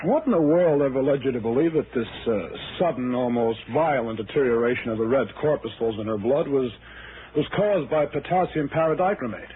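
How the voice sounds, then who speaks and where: An actor playing a courteous, curious medical specialist in a 1960s radio drama, halting and professional. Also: male voice, mid-range voice, expressive, natural voice. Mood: puzzled, curious. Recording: narrow-band vintage radio, faint noise.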